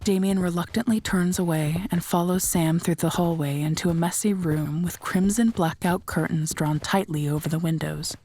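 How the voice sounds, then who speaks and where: A woman's voice: lowish voice, fairly even intonation, normal speed, hardly any pauses, dark tone, slight background noise. A woman reading scripted third-person narration for an audio drama.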